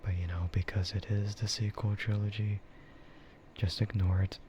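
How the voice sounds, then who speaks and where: A man speaking softly in a breathy half-whisper, rambling casually and hesitantly like an ASMR streamer close to the mic. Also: on the low side, little pitch movement, normal speed. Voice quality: soft, breathy, often whispered. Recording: muffled lo-fi stream audio, clear background noise.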